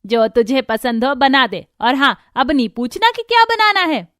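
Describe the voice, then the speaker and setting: A woman playing a warm, reassuring middle-aged mother-in-law in a cartoon story. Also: high voice, expressive, moderate pace, no room sound, clean studio sound. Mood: affectionate. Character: warm, affectionate middle-aged mother-in-law welcoming her new daughter-in-law. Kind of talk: Hindi cartoon story character acting.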